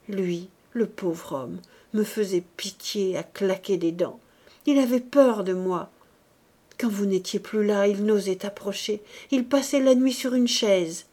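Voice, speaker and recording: A woman's voice: medium pitch, darkish tone, lightly acted. A woman voicing a distraught, guilt-ridden married woman who pleads and confesses feverishly, in dramatic audiobook style. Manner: pleading, exalted, breaking into whispers. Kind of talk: dramatic audiobook character acting.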